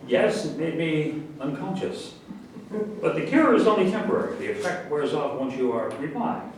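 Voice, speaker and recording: A man's voice: fairly high pitch, lively intonation, normal speed, distant mic, lightly acted. A man playing a sarcastic, contrary professor in a staged play reading. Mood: agitated. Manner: contrary, retorting. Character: touchy, sarcastic professor and government researcher. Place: echoey room at a staged reading. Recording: echoey, noisy room recorded from afar.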